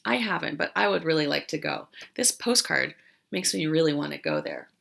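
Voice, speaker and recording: A woman teacher doing an interactive read-aloud for young children, explaining as she goes and pausing after questions. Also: low voice, expressive, gravelly, fairly bright tone. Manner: questioning, explanatory, with pauses for answers.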